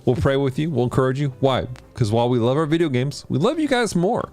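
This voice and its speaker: A man hosting a livestream of video games and Bible study, chatting casually with viewers and teaching in an animated way. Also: quick pace. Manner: animated, jumps in pitch when greeting chat. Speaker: host of a gaming and Bible study stream.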